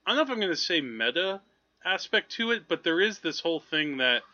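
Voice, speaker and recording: A man co-hosting a movie podcast, talking casually in a halting, digressive, amused way. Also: a little high, big pitch swings, sharp tone, no room sound. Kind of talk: casual film review chat. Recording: slightly dull, lo-fi podcast audio.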